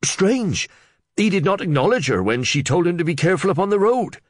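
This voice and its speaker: A man voicing an excitable, fussy village reeve who talks in a breathless, gushing rush. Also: sits high, brisk, no room sound, studio quality, pitched above the performer's own voice. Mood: eager. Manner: breathless, gushing, tumbling words. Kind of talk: audiobook character voice.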